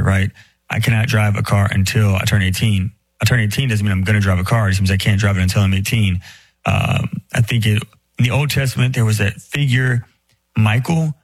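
A man's voice: on the low side, no echo, poor audio. A man who is a priest, explaining a Bible question in a casual, chatty way with many fillers. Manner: casual, filler-heavy, speeds up and slows down.

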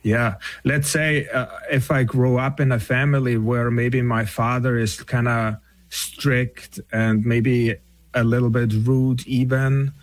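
A man's voice: mid-range voice, flat delivery, takes it slowly, dry recording. Man, reflective and dry. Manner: reflective, thoughtful with frequent "right?" tags. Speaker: guest counselor and coach. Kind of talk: conversational interview.

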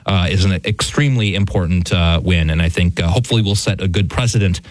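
A man's voice: deep voice, quick pace, no echo. A man co-hosting a news show, chatting casually about headlines in rambling starts and restarts. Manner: talks in rambling restarts, chuckling.

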